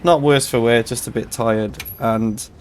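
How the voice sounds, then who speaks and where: Hoarse, flat-voiced gaming man. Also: mid-range voice, unhurried, slight background noise. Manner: chatty, rambling explanations. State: slightly hoarse, tired voice. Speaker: host of a gaming channel. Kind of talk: casual game walkthrough.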